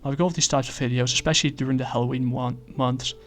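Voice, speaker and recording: Male comic reviewer, middle pitch, monotone, hesitant with lots of fillers. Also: faint music behind. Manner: halting, many fillers and restarts. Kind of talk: casual spoken review.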